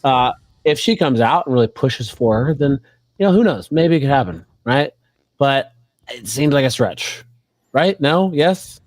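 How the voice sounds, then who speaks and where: A man co-hosting a casual political podcast, brash and profane, with a slightly hoarse voice from mild laryngitis. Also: medium pitch, lively intonation, a little slow, no room sound. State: losing his voice, mild laryngitis. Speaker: podcast co-host.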